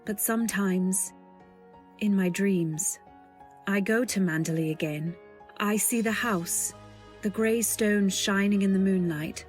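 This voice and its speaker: A woman plays a timid young heroine telling her story in a radio play. Her voice is mid-pitched, measured and dreamy, meekly polite, with faint music underneath. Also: darkish tone, natural voice. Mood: wistful, haunted. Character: timid, wistful young woman, a lady's companion. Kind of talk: old-time radio drama, first-person narration.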